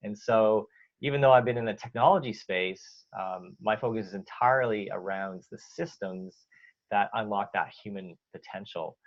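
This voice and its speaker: A man with a Canadian accent, a guest answering interview questions in a relaxed way, with many fillers and restarts. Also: on the low side, normal speed. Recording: dull lo-fi audio.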